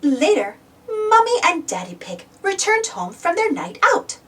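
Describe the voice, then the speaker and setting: A woman reading a picture book aloud as narrator, expressively and deliberately, for young children. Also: very high-pitched, huge pitch swings, a bit bright, far from the mic, slight background noise.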